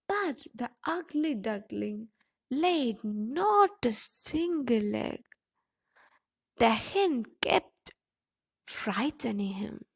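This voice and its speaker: Casting: female storyteller for children's tales, very unhurried, expressive, with a creaky edge. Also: on the high side. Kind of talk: scripted children's story narration.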